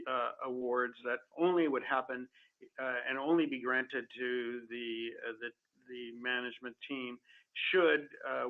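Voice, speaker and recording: A man speaking as a company executive on an earnings call, answering in a halting, careful way with many repeated words, over a phone line. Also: medium pitch. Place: conference call. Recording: tinny telephone conference line.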